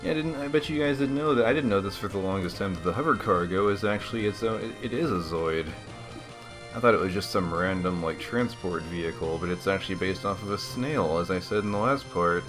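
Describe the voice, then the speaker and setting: A man's voice: low voice, a little fast, music in the background. A man giving casual, rambling game commentary as a let's play host, laid-back and slangy.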